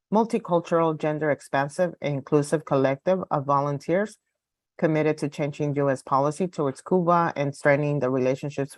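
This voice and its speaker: A woman from Los Angeles speaking as an activist guest, delivering a prepared political speech in a measured way, point by point. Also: sits low, no room sound. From Los Angeles, United States.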